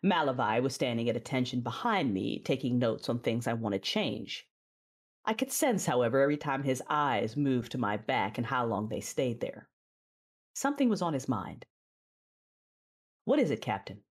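A woman acting a proud, passionate dark lady in an audio drama, narrating in the first person with intense, deliberate delivery. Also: a bass voice, sing-song, slightly rough, full character voice. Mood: coolly commanding. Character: proud dark Sith lady, commanding, passionate, wounded by betrayal. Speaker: narrator and lead character. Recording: music under the voice. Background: music.

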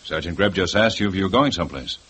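An actor playing a relentless police lieutenant, talking in a clipped, demanding way in a 1950s radio drama. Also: male voice, sits low, animated, fast, a bit bright, a little hiss or hum. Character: hard-driving male police lieutenant, relentless.

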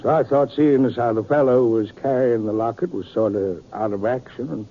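An actor playing a callous, unrepentant middle-aged outlaw in a radio western, raspy, with a rustic, halting, taunting drawl. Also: male voice, mid-range voice, slight background noise, lightly acted. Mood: sly, needling. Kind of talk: 1950s radio western acting. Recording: muffled old 1950s radio recording.

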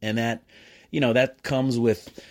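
A middle-aged American man telling personal stories as a podcast host, chummy and animated, often acting out bits of conversation. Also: medium pitch, measured pace. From United States. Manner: animated, self-describedly chummy and jokey; acts out quoted exchanges. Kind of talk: casual solo podcast monologue, storytelling.

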